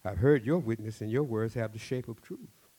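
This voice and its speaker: A man voicing a wise village chief in a folk tale, speaking in solemn, measured, rhythmic phrases. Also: fairly low pitch, dark tone, breathy edge, rough recording.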